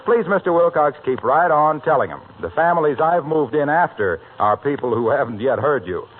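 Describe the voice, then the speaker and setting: Male American announcer: lively intonation, slightly high pitch, polished sales delivery, old narrow-band radio. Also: normal speed. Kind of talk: 1940s radio commercial and announcing.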